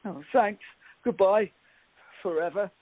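A man's voice: high-pitched, takes it slowly. A man playing a nervous young bachelor, stammering and sarcastic, in a comic radio play with tinny, phone-like sound. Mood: alarmed, sarcastic. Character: nervous young bachelor, exasperated, alarmed by his date.

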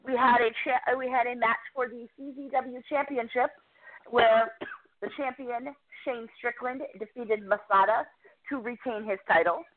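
A woman reporter giving a chatty, enthusiastic recap of a wrestling show, quick to poke fun at herself. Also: a little high, steady tone. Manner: chatty, enthusiastic, self-deprecating. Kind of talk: casual podcast recap. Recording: tinny phone line.

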